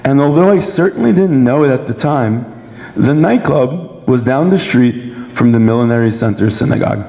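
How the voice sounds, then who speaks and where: A young man from Brooklyn with a sore throat gives a measured, prepared personal speech at a dinner event. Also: medium pitch, animated, creaky, dark tone. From Brooklyn, New York, United States. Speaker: guest speaker giving a personal testimony. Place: large indoor event hall. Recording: echoey hall with long reverb.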